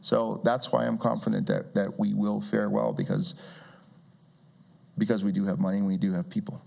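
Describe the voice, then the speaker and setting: A man's voice: on the low side, level tone, moderate pace, gravelly, darkish tone. A man in his forties speaking at a community meeting with a handheld mic, talking in a conversational way with many restarts and wry asides. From Brazil. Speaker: guest speaker. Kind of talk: public talk with audience questions. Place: community meeting room with seated audience.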